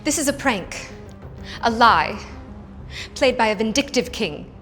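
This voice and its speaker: A woman's voice: fairly high pitch, animated, a little slow, fairly bright tone, soft background music. An actress playing a determined young noblewoman in a period romance drama, with earnest, formal old-fashioned diction. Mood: urgent. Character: determined young noblewoman seeking escape through marriage.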